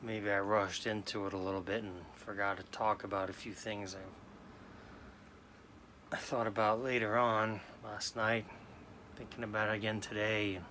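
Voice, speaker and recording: Sad late-40s guy from new york, halting, lots of restarts, bright tone, pretty flat. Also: on the low side, a little hiss or hum. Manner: halting, subdued, many restarts. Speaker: sports card vlogger. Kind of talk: casual personal talk to camera.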